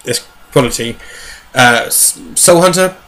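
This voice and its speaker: A man hosting a book review show in casual, animated, rambling chat with a jokey edge. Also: medium pitch, measured pace, rough recording.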